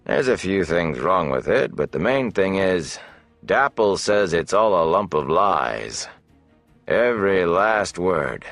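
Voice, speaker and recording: A man voicing a gruff, wry wilderness man with a plain-spoken, deliberate, folksy way of talking in an audiobook. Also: low voice, very animated, a bit bright, no room sound, soft background music, exaggerated voice. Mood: blunt, suspicious. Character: gruff, wry older wilderness man who runs with wolves.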